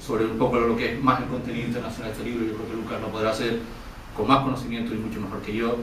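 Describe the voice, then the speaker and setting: A man's voice: medium pitch, flat delivery, racing. A man giving a formal speech as a panelist presenting a book. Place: event room, distant mic. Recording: very echoey hall, distant mic, faint noise.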